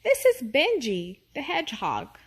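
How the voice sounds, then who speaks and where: Woman as a shy, high-voiced platypus girl. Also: wildly swooping pitch, unhurried, heavy background noise, heavily acted. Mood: soft, friendly. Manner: shy, simple, childlike.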